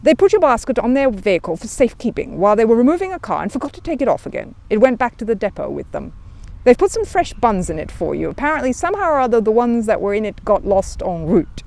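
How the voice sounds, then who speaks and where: Woman as a brisk older police sergeant, animated. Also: a little high, gravelly, voice pushed up. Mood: reassuring. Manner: brisk, reassuring, authoritative. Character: important, genial older male police sergeant.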